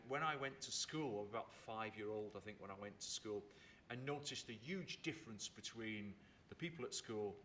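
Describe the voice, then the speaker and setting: A man in his fifties from England talks in a reflective, unhurried storytelling way, with a bright tone. He lingers over his words and repeats them for emphasis. A faint low rumble sits behind his voice in a theatre. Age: 50s. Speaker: storyteller and writer.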